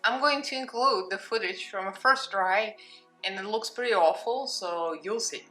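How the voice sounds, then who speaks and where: A woman's voice: mid-range voice, moderate pace, bright, forceful tone, soft background music, rough recording. A woman with a Russian accent, a makeup vlogger talking through a tutorial in a casual, candid, self-mocking way.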